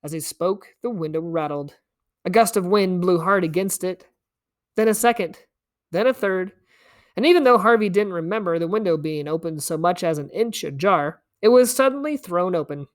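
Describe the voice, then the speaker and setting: A woman reading a story aloud as an expressive narrator. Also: on the low side, moderate pace. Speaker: story reader.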